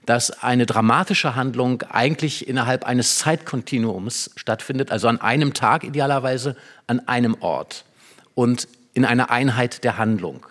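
A man's voice: medium pitch, normal speed, a bit bright. Man explaining literature in conversation. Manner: explanatory, with illustrative examples and tag questions. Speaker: literary expert guest.

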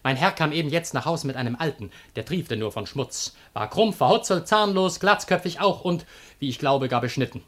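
A man's voice: on the high side, lively intonation, moderate pace, a bit bright. A man playing a cheeky, glib slave of ancient Greece, declaiming with mockery in a German classical comedy radio play. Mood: mocking. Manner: declamatory, mocking.

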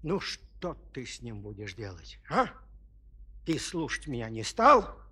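A man's voice: medium pitch, big pitch swings, a little slow, pushed and bright, breathy edge, exaggerated voice. An actor playing an elderly peasant father who tells his tale in a folksy, lamenting way, exclaiming and repeating himself in a children's audio play. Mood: fretful. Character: elderly fretful peasant father of three sons. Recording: 1970s studio audio play.

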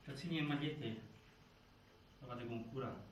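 A man giving dramatic scripted narration, deliberate and full of pauses, recorded from a distance in a very reverberant space. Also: medium pitch. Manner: deliberate, with pauses and repeated phrases. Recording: very echoey stage, distant mic, faint noise.

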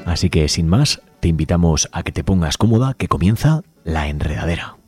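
A man hosting a community radio program, presenting in a relaxed way and sometimes stumbling over words. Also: sits low, brisk. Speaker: radio host. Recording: faint music bed behind.

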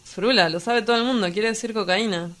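Radio drama: woman as blunt, cynical young male Argentine street poet, idle and crude, mid-pitched. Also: brisk, fairly bright tone, close to an everyday voice. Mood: casual teasing. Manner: blunt, vulgar, teasing.

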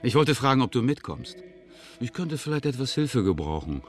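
An actor playing a cocky, scheming outlaw in a German western radio drama, glib, sly and needling. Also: male voice, mid-range voice, very animated, moderate pace, lightly acted. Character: slick, scheming outlaw swindler, cocky and vengeful.

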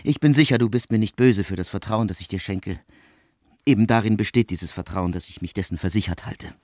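A man playing a reserved, lonely composer of about forty in a radio drama, speaking formally and haltingly, as if confiding. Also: lowish voice, darkish tone, no echo, clean studio sound, lightly acted. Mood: hesitant confession.

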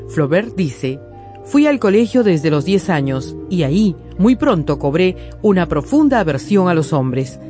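A woman's voice: on the low side, darkish tone. A woman reading scripted documentary narration in an emphatic, expository way. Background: music.

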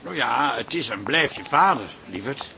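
Animated, exasperated middle-aged husband. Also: male voice, mid-range voice, moderate pace, vocal fry. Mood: placating. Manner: soothing, exasperated. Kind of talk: Dutch radio drama acting. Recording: noisy background ambience.

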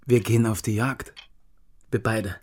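Menacing, needling East German hardliner. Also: male voice, lowish voice, steady tone, a little slow, a little mellow. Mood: goading, boastful. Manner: clipped, needling, conspiratorial. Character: menacing hard-drinking East German hardliner plotting a manhunt. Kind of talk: radio crime drama dialogue.

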